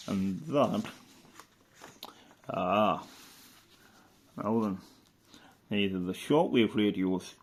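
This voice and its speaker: A middle-aged man from England speaks with the local in a fairly low voice. He gives a casual, rambling commentary, hesitating and thinking aloud like a hobbyist showing off his collection. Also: darkish tone. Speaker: hobbyist vlogger.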